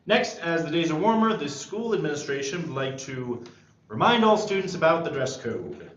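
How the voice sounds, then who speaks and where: A man playing a sardonic, reluctant high school senior at a screenplay table read. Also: lively intonation, moderate pace, close to an everyday voice. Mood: dry, announcing. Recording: echoey room, muffled, faint noise.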